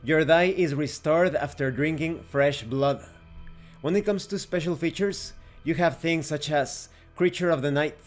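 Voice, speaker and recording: A man's voice: a little high, a little slow, soft background music. A man narrating a scripted tabletop game review in a methodical, explanatory way, as the host.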